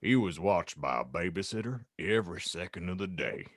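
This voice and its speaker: A man doing a grave, low-voiced gamekeeper, shift-. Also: lively intonation, moderate pace, a bit bright, no room sound, studio quality, heavily acted. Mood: concerned, disapproving. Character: concerned, solemn male gamekeeper, protective of a child. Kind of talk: comedic fan fiction reading.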